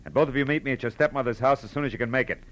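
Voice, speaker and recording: An actor with an American accent playing a shrewd, clipped, wry private detective in 1940s radio style. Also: male voice, mid-range voice, very fast, a bit bright, lightly acted. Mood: urgent. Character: hard-boiled male private detective, brisk, shrewd and sure. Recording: old radio drama recording, music behind.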